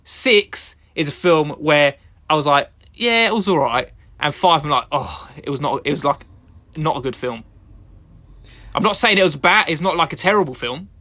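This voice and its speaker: Podcast co-host, higher bright voice that jumps around, hedging a lot. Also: male voice, lively intonation, moderate pace. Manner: defensive, hedging, many restarts. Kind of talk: casual film review chat.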